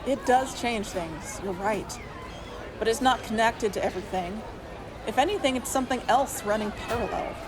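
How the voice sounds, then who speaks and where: An actress playing an exasperated, level-headed skeptical young investigator in an audio drama. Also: female voice, fairly high pitch, moderate pace, close to an everyday voice. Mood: conceding, weary. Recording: clear noise and crowd bustle. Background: busy outdoor crowd.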